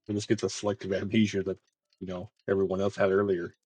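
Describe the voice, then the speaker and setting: Laid-back young male streamer, husky. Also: sits low, level tone, fairly quick, darkish tone, dry recording. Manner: laid-back, laughs often, rambling. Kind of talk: casual live game commentary.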